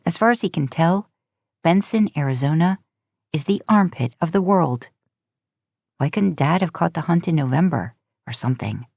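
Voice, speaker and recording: Woman narrating a story audiobook style, kinda low voice, really dry sound no room. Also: a little mellow, dry recording. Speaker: podcast host and fan fiction narrator.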